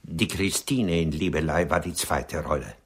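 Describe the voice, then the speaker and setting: An actor in a radio play playing a resigned, reserved older writer, laconic and drily yielding, with a husky voice. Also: male voice, very deep voice, normal speed. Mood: dry, yielding.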